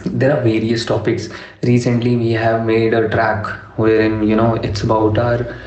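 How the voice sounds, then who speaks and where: A young man from Delhi, a rapper, answering interview questions in a measured way, with many fillers like "you know" and "uh". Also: fairly low pitch, monotone, a little mellow. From Delhi, India. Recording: echoey room, dull lo-fi sound.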